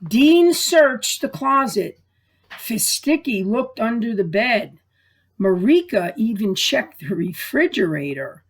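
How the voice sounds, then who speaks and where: A woman librarian reading aloud to children and families in a deliberate, expressive storytelling way. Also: a little high.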